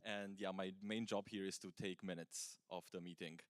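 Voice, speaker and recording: A man's voice: sits low, steady tone, moderate pace, clear, resonant tone, dry recording, clean studio sound. A man from Romania, vice chair of a meeting, introducing himself in an easygoing, joking way.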